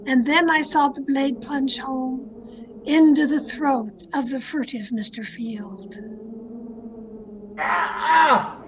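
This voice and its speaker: A woman's voice: high-pitched, takes it slowly, pauses often, background noise. A woman playing a thrill-seeking young woman who narrates her murder tale with dramatic, breathless intensity in old radio suspense style. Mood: excited, suspenseful. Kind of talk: 1940s radio suspense acting, scripted first-person narration. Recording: video-call radio play with music underneath. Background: dramatic music.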